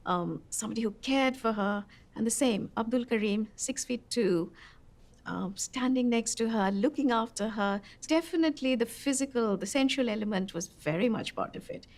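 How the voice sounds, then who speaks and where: A woman explaining history as an interviewed expert, in short, emphatic phrases. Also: fairly high pitch.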